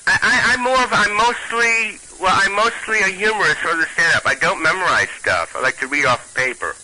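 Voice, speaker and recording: A man with a Brooklyn accent who talks in halting bursts full of restarts. His voice is very bright and swings widely in pitch, with faint noise in the background. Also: rough recording. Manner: halting, many restarts, animated. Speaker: storyteller and stand-up comic. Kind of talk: casual chat and reading a comic tale.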